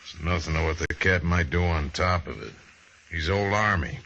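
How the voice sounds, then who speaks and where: An actor playing a resigned but loyal cavalry soldier in an old-time radio western, with rustic, folksy speech. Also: male voice, low-pitched, normal speed, vocal fry, pushed and bright, heavily acted. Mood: grimly informative. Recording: muffled vintage radio broadcast recording.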